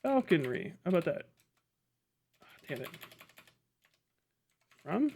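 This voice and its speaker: A man's voice: fairly high pitch, sing-song, takes it slowly, creaky, darkish tone, a little hiss or hum. A man doing casual livestream commentary, swearing and muttering to himself at a close mic. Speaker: livestream host reacting to a video.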